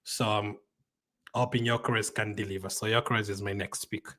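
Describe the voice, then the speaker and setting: A man, hosting a casual livestream and answering viewer comments in a conversational, halting way. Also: on the low side, little pitch movement, bright, forceful tone. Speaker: livestream host.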